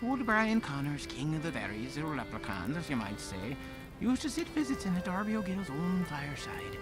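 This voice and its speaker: A man's voice: fairly high pitch, wildly swooping pitch, normal speed, over music, rough recording. A man playing a genial parish storyteller, telling a fireside tale theatrically. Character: genial Irish parish storyteller, Mister Clancy.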